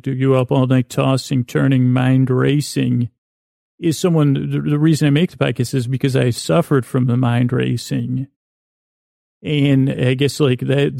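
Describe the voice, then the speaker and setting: A man hosting a sleep podcast in a soothing, meandering monologue, halting often, repeating words and interrupting himself, with a gravelly voice. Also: mid-range voice, warm tone, no echo, studio quality. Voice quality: gravelly, creaky. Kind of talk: rambling, soothing bedtime monologue.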